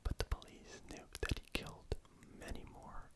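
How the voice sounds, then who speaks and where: A person whispering a story in an informal ASMR style, breathy and unvoiced, repeating words for emphasis. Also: moderate pace. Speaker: ASMR host telling a true crime story.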